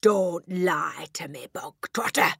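A tyrannical storybook headmistress. She is theatrical and menacing, with wide swings in pitch and drawn-out, barked emphasis. The recording is close and dry. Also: sing-song, no echo, exaggerated voice. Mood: outraged. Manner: booming, barking insults with drawn-out emphasis. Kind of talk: audiobook character acting.

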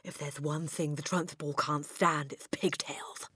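A woman's voice: deep voice, steady tone, unhurried, husky, no echo, clean studio sound, exaggerated voice. A woman voicing a knowing older schoolgirl who whispers gossip. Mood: conspiratorial. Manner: hushed, conspiratorial.

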